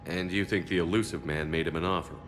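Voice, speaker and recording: Man acting a deep-voiced, earnest commander. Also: fairly even intonation, a little hiss or hum, natural voice. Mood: serious, questioning. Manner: measured, grave. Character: steadfast, earnest male military commander. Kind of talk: video game dialogue.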